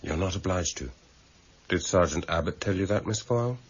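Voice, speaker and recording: An actor playing a weary, measured senior police detective with quiet authority and an English accent, in a radio drama. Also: male voice, sits low, breathy edge. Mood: stern, pressing. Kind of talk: 1990s British radio drama acting. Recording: muffled, noisy old radio broadcast recording.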